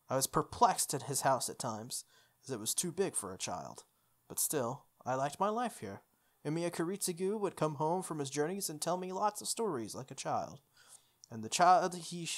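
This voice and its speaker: A playthrough host reading visual novel text aloud in a playful way, with casual asides. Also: medium pitch. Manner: playful, interjects informal comments on the script. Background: game music.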